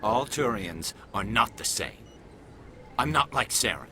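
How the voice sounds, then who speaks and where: An actor playing a proud, driven alien investigator in a video game, speaking with earnest intensity. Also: male voice, lowish voice, big pitch swings, a little slow, pushed and bright, full character voice. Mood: determined. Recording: faint ambient noise behind.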